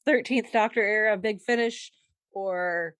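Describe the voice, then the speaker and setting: A woman's voice: medium pitch, unhurried, fairly bright tone, smooth, no room sound, clean studio sound. A woman hosting a podcast, reading out fandom news in a casual, wry and playful way with chuckles. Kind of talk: casual chat.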